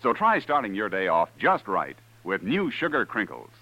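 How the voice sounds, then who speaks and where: A man's voice: on the low side, wildly swooping pitch, moderate pace, highs cut off. An American man announcing in the peppy, salesman-like style of a 1950s radio commercial.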